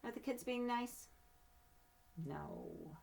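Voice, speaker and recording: A woman's voice: on the high side, animated, slow, gravelly, a little mellow. A woman reading a children's storybook aloud, with casual asides to the listeners. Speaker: storybook reader.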